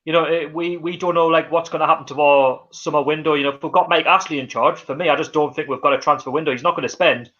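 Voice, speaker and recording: Fast-talking Geordie man on a lo-fi stream. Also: fairly high pitch, fairly even intonation, a bit bright. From North East England. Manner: reads viewer comments aloud and reacts, chatty with many fillers. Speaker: livestream host. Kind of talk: casual live-stream chat.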